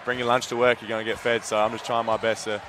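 A young Australian man talks quickly in a lowish voice, relaxed and upbeat, saying "absolutely" a lot. He speaks into a headset mic from courtside seats in an indoor gym after a game. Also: a little hiss or hum. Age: young adult. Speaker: college basketball player. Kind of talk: postgame interview.

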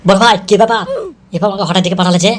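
Very fast-talking scheming henchman, a man acting. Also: high voice, steady tone, lightly acted. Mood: eager. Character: boastful, scheming male henchman, eager to please. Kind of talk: amateur comedy cartoon dub.

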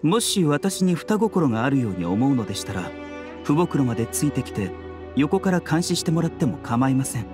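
A man playing a gentle young physician in an anime game, composed, polite and formal in his measured delivery. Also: medium pitch, sing-song, close to an everyday voice. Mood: calm, courteous. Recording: background music under voice.

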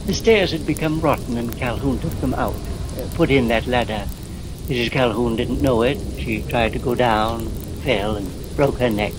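A man's voice: mid-range voice, lightly acted. An American actor in a 1940s radio drama playing a frail, hesitant old man who is secretly a ghost. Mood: grimly confiding. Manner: hesitant, halting. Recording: noisy 1940s radio recording, rain ambience.

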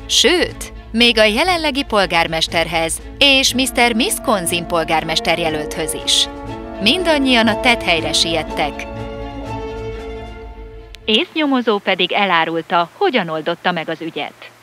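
A woman's voice: medium pitch, big pitch swings, music in the background. A woman narrating a scripted children's cartoon story.

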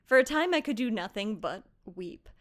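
A woman playing an earnest, haunted young female blacksmith in tabletop roleplay, telling her story in measured, literary phrases with dramatic pauses. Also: on the high side, lively intonation, a bit bright. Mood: somber.

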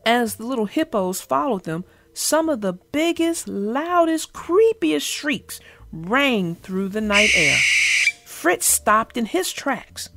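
Expressive lady reading a kids story, slow and very lively, music under her. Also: on the high side, gravelly. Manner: expressive, addressing listeners directly. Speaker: children's read-aloud narrator. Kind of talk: animated storybook narration for children.

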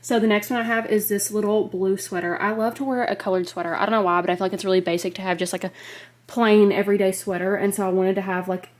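A woman's voice: medium pitch, steady tone, quick pace. An American woman in her twenties with a slightly congested, nasal voice, chatting casually and gushingly as a fashion vlogger into a handheld camera. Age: 20s. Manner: gushing, emphatic, frequent "like". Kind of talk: casual clothing haul chat. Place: bedroom, indoors. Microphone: handheld compact camera at arm's length.

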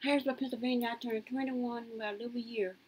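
A woman in her late fifties rambling through her life story in a casual, lively way. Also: fast talker, slightly rough, poor audio. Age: 50s. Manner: lively, swoops high when quoting others.

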